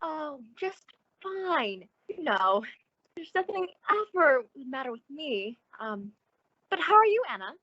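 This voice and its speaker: An actress playing an uneasy acquaintance on a call, halting and awkwardly polite, in an old-time radio drama. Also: female voice, high-pitched, sing-song, sharp tone, dry recording, lo-fi sound, full character voice. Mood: awkward, surprised.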